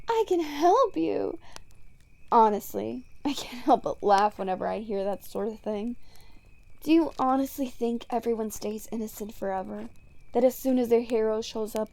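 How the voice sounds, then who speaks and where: A woman's voice: fairly high pitch, creaky, warm tone. A woman playing a taunting, seductive supervillain in an ASMR roleplay monologue, mocking and theatrical. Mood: scornful. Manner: mocking, teasing, theatrical, unhurried, breaking into whispers. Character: taunting female supervillain, smug, seductive, bitter toward heroes.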